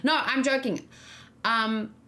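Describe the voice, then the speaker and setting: A woman's voice: on the high side, fairly even intonation, slow, bright, forceful tone. A woman streamer talking casually about a game, musing informally and thinking aloud as she goes. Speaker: streamer and reaction host. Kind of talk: casual livestream game discussion.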